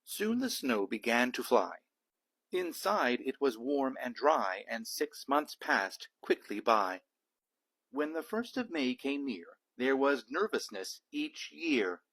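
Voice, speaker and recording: Slow, expressive, slightly husky male storyteller. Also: mid-range voice, rough recording. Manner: measured, rhythmic rhyming delivery. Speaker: read-aloud storyteller, a dad. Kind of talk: children's picture book narration.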